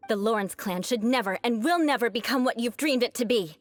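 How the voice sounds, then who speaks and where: A woman voicing a proud young noblewoman knight in a video game, composed and defiant, with formal, measured, coolly disdainful delivery. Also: a little high, soft background music, close to an everyday voice. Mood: firm reproach.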